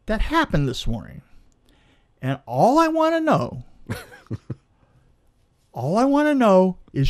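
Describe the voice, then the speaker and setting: A middle-aged man telling a casual anecdote in an emphatic, halting way, repeating phrases and checking in with the listener. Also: a little high. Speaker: podcast guest, film crew veteran.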